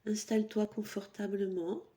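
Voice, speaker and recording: A woman leading a guided yoga nidra meditation for sleep as an instructor, with a calm, soothing, instructional delivery and pauses. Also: mid-range voice.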